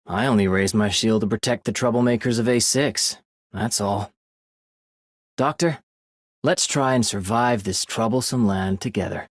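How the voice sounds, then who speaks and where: A man's voice: fairly low pitch, moderate pace, no echo, studio quality. A man voicing a blunt, guarded but loyal shield-bearing mercenary for a video game. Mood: guarded, earnest. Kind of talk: video game character voice lines.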